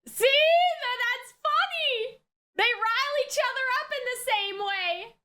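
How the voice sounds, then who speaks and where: A woman with a strikingly high-pitched, bright voice hosts a casual reaction video. She speaks expressively, in an informal and slangy way, with plenty of exclamatory commentary. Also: takes it slowly. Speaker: host of an anime reaction channel.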